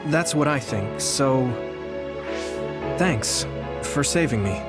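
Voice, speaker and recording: A man's voice: medium pitch, lively intonation, slow, loud music behind the voice. An actor playing an earnest, thoughtful young male soldier hero in a video game, speaking sincerely and reflectively. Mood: earnest, grateful. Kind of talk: English video game voice acting, dramatic dialogue.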